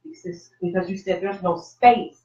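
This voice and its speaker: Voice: medium pitch, moderate pace, warm tone. A radio show host posing questions thoughtfully, with frequent ums and restarts. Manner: thoughtful, hesitant, with many ums. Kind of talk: call-in radio discussion. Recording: echoey, muffled sound.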